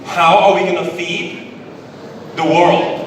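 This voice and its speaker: A man from Thailand with a Thai accent, giving a public presentation in English as a conference speaker, deliberate and emphatic with repetition. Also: sits high, little pitch movement. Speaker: conference speaker, business executive. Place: large indoor event hall. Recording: very echoey hall, distant, noisy ambience.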